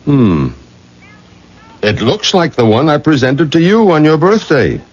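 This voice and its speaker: A man's voice: on the high side, very animated, unhurried. An actor playing a firm, principled middle-aged father, speaking formally and emphatically in a radio drama. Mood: skeptical. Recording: muffled vintage radio drama recording.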